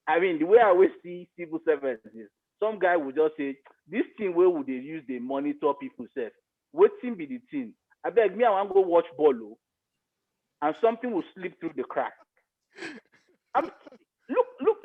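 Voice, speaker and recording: A man's voice: on the high side, phone-quality sound. A man with a Nigerian accent giving his political opinions emphatically, repeating phrases for stress.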